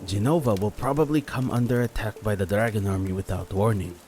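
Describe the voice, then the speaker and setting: A man's voice: lowish voice, brisk, a little mellow, voice pushed up, lightly acted. A man voicing a serious Kithkin swordsman in a fantasy audiobook. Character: serious male Kithkin swordsman warning of danger.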